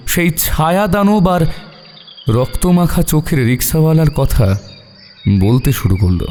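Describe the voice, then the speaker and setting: A man's voice: a little high, darkish tone, slight background noise. A man narrating a scripted horror story in a dramatic storytelling style. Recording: long reverb, background music bed.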